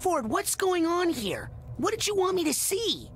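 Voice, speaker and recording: Cartoon game hero: plucky young boy, high voice, lively intonation, earnest and full of urgent questions, noisy ambience behind. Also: measured pace, full character voice. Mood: puzzled. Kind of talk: cartoon video game acting.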